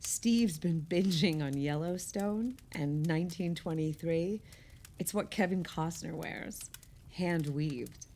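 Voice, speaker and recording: An actress playing an effusive, sentimental party guest in a naturalistic audio play, speaking haltingly. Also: female voice, fairly low pitch, dark tone, slight background noise. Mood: chatty. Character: gushing, sentimental woman guest at a holiday party.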